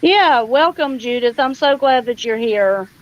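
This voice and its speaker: Slow-paced woman, high voice. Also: animated, lo-fi sound, slight background noise. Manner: chatty, welcoming, folksy, swears casually. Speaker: livestream host. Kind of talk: casual livestream chat.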